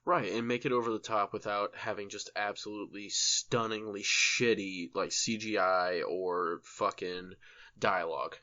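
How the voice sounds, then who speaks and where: A man's voice: lowish voice, steady tone, a little slow, sharp tone. A man co-hosting a casual podcast, talking in slangy, sweary bro-speak on a slightly muffled recording. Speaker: podcast co-host. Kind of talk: casual chat about video game movies.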